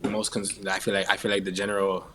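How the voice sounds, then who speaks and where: A man with a fairly deep voice and a Toronto accent chats casually as an interview guest. His talk is halting, full of slang and swearing, with repeated tag phrases. There is some background noise on the recording. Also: monotone, sharp tone. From Canada. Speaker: interviewee, musician.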